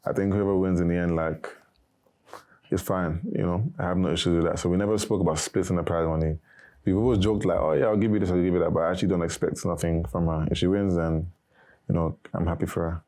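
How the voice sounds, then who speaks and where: A young man, a former reality show contestant, answering interview questions in a casual, monotone way. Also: low-pitched, quick pace. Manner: monotone, not very animated.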